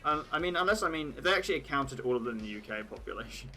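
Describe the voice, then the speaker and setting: Young British male streamer, stray Australian vowels, bright tone, casual rambling chat. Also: medium pitch, lively intonation, very fast, soft background music. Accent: British, with occasional Australian words. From Britain. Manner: chatty, laughs at his own jokes, thinks aloud with many "like"s. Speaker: livestream host.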